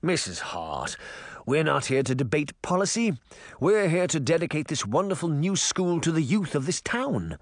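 A man's voice: a little high, big pitch swings, moderate pace, no room sound, studio quality, pitched above the performer's own voice. A man doing a slick, defensive mayor. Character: smooth, defensive small-town mayor, adult man. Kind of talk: audiobook character voice.

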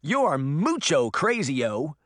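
A man plays a feisty little storybook girl talking tough. The childish, scolding voice sits high and bounces around with protective spirit, in a dry recording. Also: very animated, slow, dry recording, exaggerated voice.

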